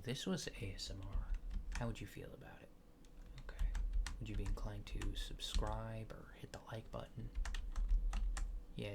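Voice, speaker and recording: A man speaks softly, sometimes whispering, in an ASMR roleplay as a casual alien abductor running a survey. His voice has a creaky, gravelly fry. He asks in a hesitant, deadpan way, over clear background noise and keyboard typing. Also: lowish voice. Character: laid-back alien abductor giving a survey. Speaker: ASMR creator. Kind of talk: soft-spoken ASMR roleplay interview, partly whispered.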